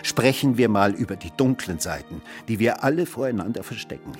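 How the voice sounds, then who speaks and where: A man's voice: fairly low pitch, lively intonation, fairly quick, faint music behind, close to an everyday voice. An actor playing a world-weary, cynical man who philosophizes in a rambling, theatrical, mock-courteous way in a radio drama. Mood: morbid, theatrical. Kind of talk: German radio drama dialogue.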